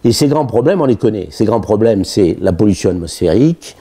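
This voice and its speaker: A man's voice: fairly low pitch, animated, brisk, warm tone. A man giving a political explainer as a local election candidate, often groping for words and repeating phrases for emphasis. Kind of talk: political explainer talk to camera.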